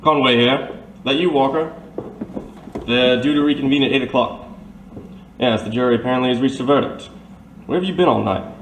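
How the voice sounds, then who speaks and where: A man's voice: medium pitch, a little fast, pauses often, close to an everyday voice. Male actor as courteous, reassuring Major for detective serial; formal manner, roomy distant sound, faint noise. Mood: businesslike, curious. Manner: formal, polite, old-fashioned turns of phrase. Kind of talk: audio drama acting in a 1930s detective serial.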